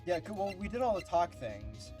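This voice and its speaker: A man's voice: high-pitched, very animated, normal speed. A man hosting a live fandub stream with casual gaming banter over game music. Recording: music behind.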